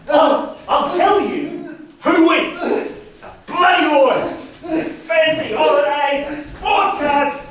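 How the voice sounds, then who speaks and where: A man acting in a stage play as a vengeful, unhinged brother, ranting and stammering, swinging from hiss to shout. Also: extremely high pitch, exaggerated voice. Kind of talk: amateur one-act stage play acting. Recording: echoey room from far off, noisy.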